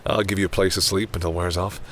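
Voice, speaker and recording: A man playing a commanding vampire in an audio roleplay, haughty and deliberate, menacing yet reluctantly caring toward humans. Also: low-pitched, little pitch movement, slight background noise. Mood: calmly controlling. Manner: haughty, deliberate, often drops into hushed brooding. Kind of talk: dramatic audio roleplay addressed to the listener.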